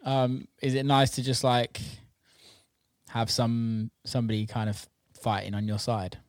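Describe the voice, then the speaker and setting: A man's voice: mid-range voice, level tone, a little slow, dry recording, clean studio sound. A man hosting a casual podcast chat, talking in a matey, informal way with plenty of swearing. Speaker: interviewer and host.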